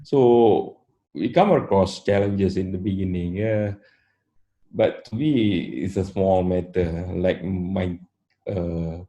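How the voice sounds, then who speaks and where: Older malaysian guy, dark mellow voice, lots of uh pauses, just chatting calmly in an interview. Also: sits low. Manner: unhurried, frequent "uh" pauses, plain matter-of-fact phrasing. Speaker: interview guest, company founder. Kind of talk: relaxed interview storytelling.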